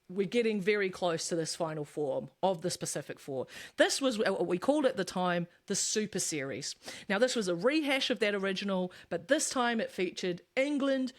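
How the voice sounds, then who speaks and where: Lively New Zealand woman podcaster. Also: medium pitch. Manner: chatty, laughs often, tells anecdotes. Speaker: podcast co-host. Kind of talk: casual podcast chat.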